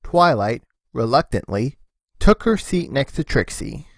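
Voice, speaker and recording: A woman giving an expressive, scripted narration of a story. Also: extremely low pitch, unhurried, gravelly, no echo, studio quality.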